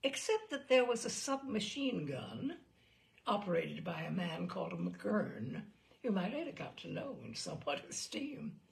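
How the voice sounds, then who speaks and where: A woman reading a memoir aloud in an expressive, dramatic storytelling style with wry asides. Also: on the low side, a little slow, creaky. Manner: lively, dramatic phrasing with asides. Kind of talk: expressive audiobook-style narration.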